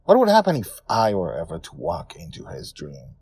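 Man voicing a husky, musing old grandfather storyteller. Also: sits low, wildly swooping pitch, a little mellow, pitched below the performer's own voice, heavily acted. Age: older. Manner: musing, unhurried, wistful. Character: reflective old grandfather narrating his dream adventures. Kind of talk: first-person audio story narration.

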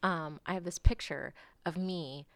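Low-voiced woman in her forties, frank. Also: measured pace, gravelly, no echo. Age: 40s. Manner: frank and animated, swears casually, drifts into soft asides. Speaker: guest artist. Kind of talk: candid conversational interview.

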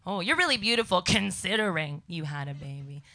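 A young Canadian woman with a scratchy voice doing a deadpan stand-up set before a live audience. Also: on the low side, brisk. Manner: deadpan one-liners to a crowd. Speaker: stand-up comedian guest.

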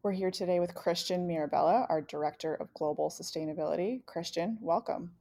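Low, gravelly female podcast host. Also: a little fast, warm tone, soft background music. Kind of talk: casual podcast chat.

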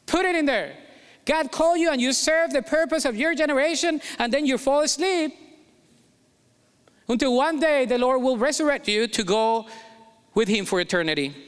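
A man's voice: extremely high pitch, normal speed, a bit bright, clear, resonant tone, long reverb tail. A pastor from Colombia preaching a sermon in English with a Colombian Spanish accent, emphatic and repeating his key phrases.

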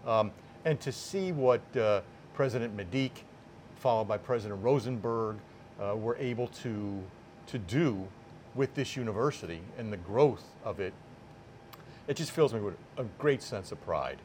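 Deliberate older man over clear background noise. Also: on the low side, lively intonation, darkish tone. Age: 50s or older. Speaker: sports public address announcer, interview guest. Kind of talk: casual sit-down interview.

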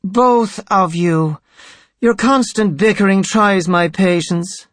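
Audiobook role: haughty, tired high priest, raised pitch, bitter authority played by a male reader. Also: a little slow, dry recording. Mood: weary. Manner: imperious, bitter, sighing. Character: imperious, weary male high priest, tall and narrow. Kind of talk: audiobook character voice.

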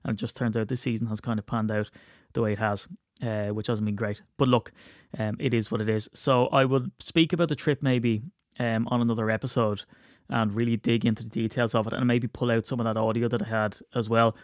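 A man's voice: mid-range voice, steady tone, fairly quick, no room sound. Male podcast host, stuffy cold, rambling self-mocking chat, dry close mic. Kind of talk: casual solo podcast chat.

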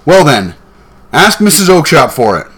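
A man's voice: fairly high pitch, big pitch swings, moderate pace, a bit bright, husky, voice pushed up, heavily acted. A man voicing a surly, fierce market trader in a storybook, blustering angrily. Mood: furious, dismissive. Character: surly, furious male market salesman, shouting threats.

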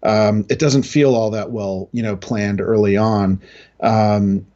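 A middle-aged American man from New England speaking in a halting, reflective way as a guest in a casual interview. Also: lowish voice, steady tone, warm tone, highs cut off. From New England, United States. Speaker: interview guest, industry analyst.

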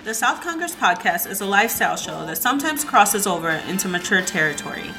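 A woman's voice: medium pitch, big pitch swings, brisk, vocal fry, loud music behind the voice, low-quality recording. A woman reading a scripted show disclaimer.

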